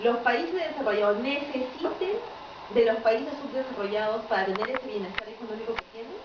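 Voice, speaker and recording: A woman's voice: fairly high pitch, fairly quick, distant mic. A woman from Peru asking a question from the audience at a lecture, hedging and hesitant, often tagging her sentences with "¿no?". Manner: hesitant, hedging, fillers like "¿no?" and "este". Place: lecture room at a university. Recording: dull, echoey room audio from afar, with clear noise.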